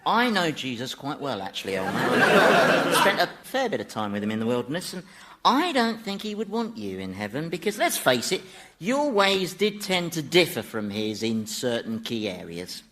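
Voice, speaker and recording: An actor playing a chatty, exasperated devil who runs hell, wry and dismissive, in a radio comedy. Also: male voice, on the high side, wildly swooping pitch, moderate pace, sharp tone, a little hiss or hum, full character voice. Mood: wry, impatient. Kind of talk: broadcast radio comedy acting.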